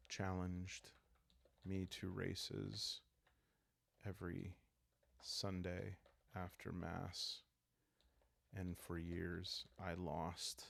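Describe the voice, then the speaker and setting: A man with a low, gravelly voice talks through a solo roleplaying game in a calm, soft-spoken way. He muses and pauses as he makes up the story, in a very dry, close sound. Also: no room sound. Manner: soft-spoken, calm, musing, halting as he thinks aloud. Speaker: solo roleplayer and show host. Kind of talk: calm, improvised narration of a journaling game for relaxation.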